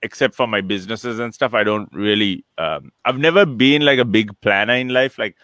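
A man in his late thirties from Sri Lanka, a guest chatting casually on a video call, with a relaxed, rambling manner, restarting and chuckling often. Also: lowish voice, moderate pace, no room sound, clean studio sound. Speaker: interview guest, entrepreneur. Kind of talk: casual conversational interview.

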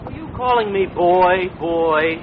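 Wisecracking office clerk, sarcastic, lively pitch, muffled old radio. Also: male voice, slow pace. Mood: wry. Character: wisecracking male office clerk, sly and teasing. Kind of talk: 1940s radio comedy acting. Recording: muffled, noisy old radio, crowd behind.

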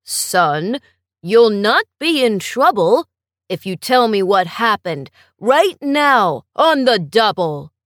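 A woman's voice: medium pitch, very animated, slow pace, dry recording, clean studio sound, voice pushed down. A woman voicing a stern but caring male school principal who speaks firmly and reassuringly. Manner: firm, reassuring.

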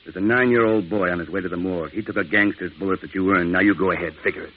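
A man's voice: low voice, very fast. A man playing a stern, bitter American priest in an old radio drama, curt and accusing. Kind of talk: 1940s-50s radio drama acting. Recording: thin, muffled vintage radio broadcast.

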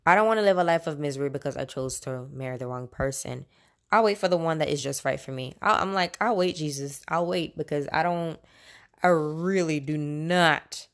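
A woman in her late twenties talking casually and candidly as a podcast host, conversational and opinionated in a personal monologue. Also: low-pitched. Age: late 20s.

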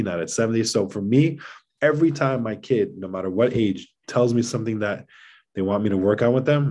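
A man's voice: fairly low pitch, mellow. A man speaking as a podcast guest in casual conversation, explaining things in an earnest, emphatic way. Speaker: podcast guest, father of seven.

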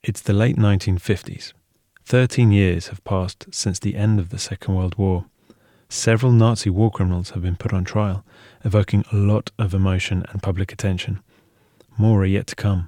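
A man's voice: sits low, fairly even intonation, moderate pace, mellow, dry recording, studio quality. A man co-hosting a story podcast, reading scripted documentary narration. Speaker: podcast co-host.

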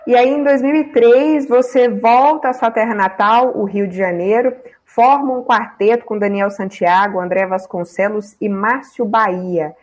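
Higher-voiced woman interview host. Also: fairly even intonation, a little mellow. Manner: clear, measured, read from a script. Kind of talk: scripted introduction and interview questions.

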